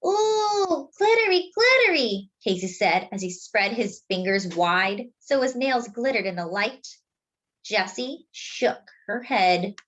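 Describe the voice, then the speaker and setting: A woman reading a picture book aloud to young children, expressive and deliberate, drawing out key words. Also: medium pitch, lo-fi sound. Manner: deliberate, with drawn-out emphasis for young listeners. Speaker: children's storyteller.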